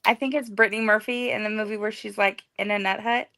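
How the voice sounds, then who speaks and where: Playful woman on a stream, gravelly creaky voice, teasing. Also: mid-range voice, fairly even intonation, fast, sharp tone. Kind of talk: casual chat on a live stream.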